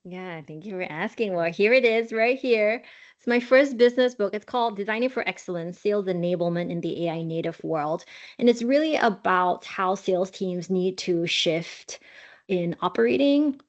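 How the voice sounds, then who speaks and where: Measured woman guest, muffled audio. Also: mid-range voice. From Singapore. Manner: measured, earnest, explanatory. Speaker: podcast guest, author. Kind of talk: interview answers. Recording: dull lo-fi audio.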